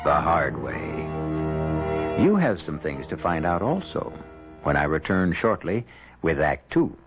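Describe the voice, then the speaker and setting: Muffled old radio show with music, an ominous wry storyteller, theatrical and measured. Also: male voice, a bass voice, very animated, full character voice. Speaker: host and narrator. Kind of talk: dramatic scripted radio narration.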